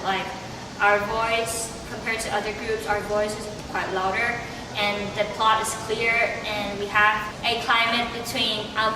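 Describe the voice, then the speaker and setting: A young woman giving informal interview answers, hesitating as she searches for words. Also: medium pitch. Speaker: student puppet show narrator being interviewed. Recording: very echoey, distant, noisy room.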